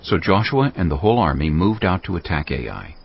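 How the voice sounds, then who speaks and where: A man narrating a dramatized Bible as scripted storytelling, measured and dramatic. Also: deep voice, very animated, vocal fry, breathy edge, muffled recording.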